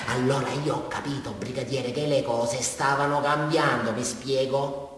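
A jittery, childlike man confessing to a crime, with a southern Italian accent and a fairly high voice. He rambles in colloquial stage monologue, halting and repeating himself. The sound is distant, swimming in the heavy echo of a large hall. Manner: halting, repetitive, rambling, swinging between hushed and loud. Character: nervous, childlike, rambling male murder suspect confessing. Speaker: stage actor in a radio broadcast of a monologue. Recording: very echoey hall, far from mic.

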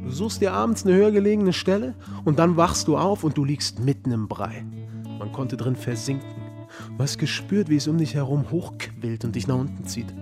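An actor playing a haunted, wry young American Vietnam veteran in a radio drama, talking colloquially and haltingly. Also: male voice, on the high side, natural voice. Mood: brooding, rueful. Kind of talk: radio drama acting, storytelling. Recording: music behind the voice. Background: music.

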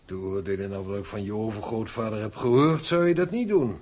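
A man acting in a radio drama as a shrewd, sober older father-in-law, husky, with a measured, knowing and kindly manner. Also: on the low side, a little mellow. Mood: dry, emphatic.

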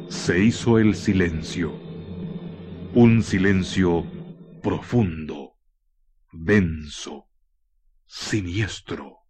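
A man narrating a scripted radio horror drama in a deliberate, suspenseful manner with weighted pauses. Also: low-pitched, darkish tone, husky. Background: faint music.

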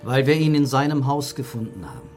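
An actor playing a measured, terse police commissioner leading a murder inquiry in a German radio crime drama. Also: male voice, medium pitch, lively intonation, a little mellow, soft background music, close to an everyday voice. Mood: matter-of-fact.

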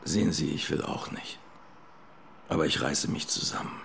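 An actor playing a dry, formally polite police detective who talks in a deliberate, insinuating way in a radio drama. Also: male voice, rumbling low, fairly even intonation, vocal fry, a bit bright, a little hiss or hum, exaggerated voice. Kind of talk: naturalistic German radio drama acting.

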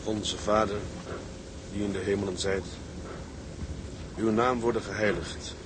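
An actor playing a grim, grieving sea captain in a Dutch drama, speaking in a solemn, deliberate way. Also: male voice, lowish voice, fairly even intonation, noisy background, natural voice. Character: grim, grief-stricken middle-aged male tugboat captain.

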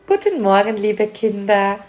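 A woman's voice: medium pitch, sing-song, measured pace, a little mellow. A woman with a Swiss German accent playing a kindly primary school teacher in an amateur radio play, speaking simply to children. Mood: kindly, encouraging.

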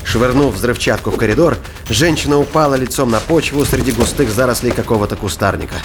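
A man's voice: medium pitch, fairly quick, very noisy. A man reading scripted dramatic narration for a sci-fi thriller audio drama. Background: music.

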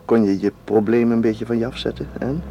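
An actor in a Dutch radio play, playing a devoted, calmly persuasive man who keeps secrets. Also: medium pitch, brisk, dull audio, a little hiss or hum, close to an everyday voice. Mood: attentive. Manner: calm, gently insistent.

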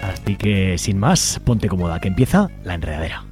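A man's voice: sits low, sing-song, normal speed, loud music behind the voice. A man with a Spanish accent from Spain co-hosting a radio show in a scripted presenting style. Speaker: radio co-host.

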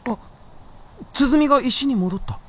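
An actor playing an earnest, curious fox spirit in a radio drama, speaking politely. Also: male voice, very high voice, animated, slow pace, background noise, heavily acted. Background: music.

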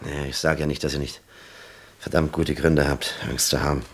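Deep-voiced, insistent police lieutenant. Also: male voice, level tone, breathy edge, heavily acted. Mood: resigned, earnest. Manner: deliberate, probing, emphatic. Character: earnest, insistent, world-weary American police lieutenant. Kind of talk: German crime radio play acting.

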